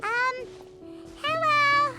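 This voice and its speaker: A woman voicing a tiny, eager, cheeky childlike fairy companion in a video game, with a squeaky, excitable delivery. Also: extremely slow, full character voice. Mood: nervous, polite. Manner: refers to self in third person, excitable. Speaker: guide and travel companion. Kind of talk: animated video game voice acting. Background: music.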